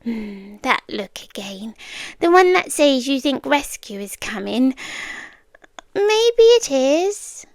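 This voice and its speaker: A possessive British girlfriend, acted by a woman, murmurs in a high voice, close and dry on the mic. She takes her words very slowly and often sinks into whispers. It is intimate ASMR roleplay, sweetly devoted with quiet menace underneath. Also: very animated, no room sound, full character voice. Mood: calm, possessive. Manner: slow, hushed, deliberate, often slipping into whispers. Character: soft-spoken obsessive yandere girlfriend, gently menacing captor. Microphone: close microphone, dry.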